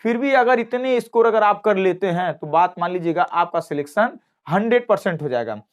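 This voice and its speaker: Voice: medium pitch, lively intonation, a little fast, clear, resonant tone. Emphatic belt teacher with repetitive delivery. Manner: emphatic, repeats phrases, checks listeners are following. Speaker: math teacher at a coaching class. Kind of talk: informal exam cut-off explainer.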